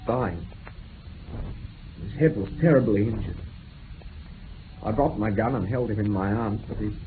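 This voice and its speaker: An actor playing a distressed, guarded young man giving halting, earnest testimony in a radio drama. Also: lowish voice, natural voice. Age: young adult. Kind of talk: 1960s British radio drama acting. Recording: tinny, band-limited old radio recording with hiss.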